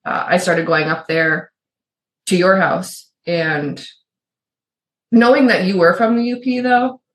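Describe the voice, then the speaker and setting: A woman's voice: fairly low pitch, steady tone, slow. A middle-aged woman from Michigan's Upper Peninsula with a faint Yooper accent, co-hosting a podcast in rambling casual chat. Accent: Yooper, suppressed. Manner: chatty, rambling with restarts and asides. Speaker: podcast co-host. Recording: roomy echo.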